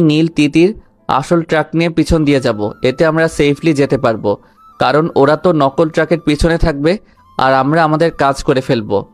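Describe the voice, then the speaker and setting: A man's voice: a little high, close to an everyday voice. A man playing a composed, capable senior security officer in an audio story.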